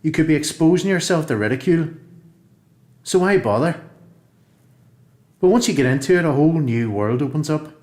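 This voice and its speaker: A man's voice: a little high, lively intonation, moderate pace, pauses often, a little mellow, close to an everyday voice. Reflective Northern Irish man as a prisoner, echoey room. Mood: earnest, reflective. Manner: reflective, plain-spoken. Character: earnest, reflective male prisoner who found education. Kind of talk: radio play, letter read as monologue.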